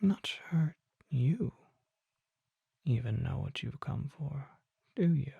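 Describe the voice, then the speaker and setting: A performer voicing a courteous, mysterious vampire merchant in an ASMR roleplay, speaking in a breathy whisper with formal, polite phrasing. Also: mid-range voice, lively intonation, dry recording, phone-quality sound, full character voice. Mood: gentle, courteous. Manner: formal, polite, unhurried, old-fashioned phrasing.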